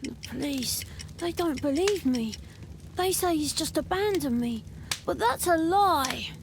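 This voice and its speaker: A woman's voice: high voice, lively intonation, unhurried, background noise. A woman voicing an anxious, proud, poor young boy with a London accent, hesitant and respectful, in a video game. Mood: earnest, defensive.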